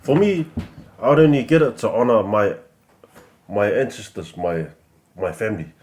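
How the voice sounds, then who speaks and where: A man's voice: lowish voice, moderate pace, darkish tone, a little hiss or hum. A young man, a rapper guest, chatting casually and laughing as he acts out relatives' voices. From Pacific Islands. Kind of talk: casual chat.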